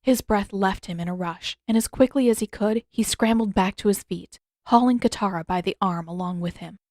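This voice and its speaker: A woman's voice: medium pitch, normal speed, a little mellow, dry recording, studio quality. A woman reading a scripted fantasy story as an audiobook narrator. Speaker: fan fiction audiobook narrator.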